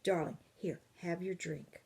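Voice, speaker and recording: A woman voicing a calm, comforting mother in a storybook reading. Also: on the low side, measured pace, creaky. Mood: soothing.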